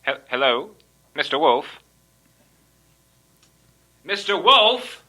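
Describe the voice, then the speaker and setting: An actor playing a stiff, self-important doctor in an old time radio mystery, speaking formally and emphatically. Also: male voice, on the high side, big pitch swings, drawn-out words, bright, forceful tone, slight background noise, exaggerated voice. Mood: urgent, certain.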